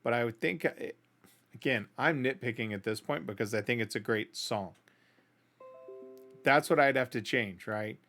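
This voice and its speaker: A middle-aged man hosts a music reaction show in a bright, forceful voice. He talks casually and offers his opinions in a conversational way, often checking in with a quick tag question. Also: medium pitch. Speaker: host of a music reaction channel.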